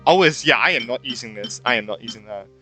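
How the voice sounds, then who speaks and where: A man's voice: medium pitch, animated, fast, pushed and bright. A young man giving casual, rambling playthrough commentary as a gaming YouTuber, informal and exclamatory. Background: game music.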